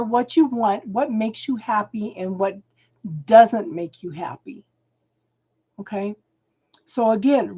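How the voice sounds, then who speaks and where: A woman doing a live tarot reading at home, talking slowly and soothingly, often checking in with "okay?", and clearing her throat as she loses her voice. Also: a little high, warm tone. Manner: slow, soothing, keeps adding "okay?". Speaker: tarot reader.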